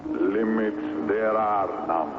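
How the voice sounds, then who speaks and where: A slow, echoing spirit voice. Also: male voice, fairly low pitch. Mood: solemn, oracular. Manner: slow, grandiloquent, oracular. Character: solemn male spirit of a dead author at a seance. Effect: heavy reverb, ghostly echo. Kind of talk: old-time radio drama acting. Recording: tinny noisy radio recording, long echoing reverb.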